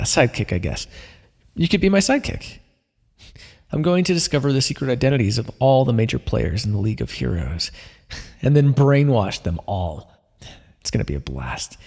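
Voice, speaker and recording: A man playing a smug, playful supervillain mind controller in an ASMR roleplay, coaxing the listener with theatrical, drawn-out words. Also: mid-range voice, vocal fry, darkish tone. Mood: awkward, hopeful, then scheming, gleeful. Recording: long reverberant echo.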